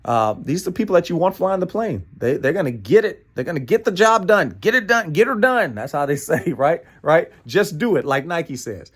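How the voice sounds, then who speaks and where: A man's voice: on the high side, animated, normal speed, hardly any pauses, breathy edge. An American man presenting a motivational training talk in an emphatic, playful way, repeating phrases and often checking in with "right?". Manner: playful asides and chuckles.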